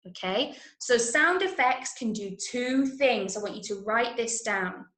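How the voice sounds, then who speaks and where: A woman with an English accent teaching an interactive online drama workshop, giving clear instructions and encouraging pupils as she repeats their answers. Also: a little high, lively intonation, measured pace, fairly bright tone. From Manchester, UK. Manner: clear step-by-step instructions to children. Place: room, online video lesson. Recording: echoey room sound.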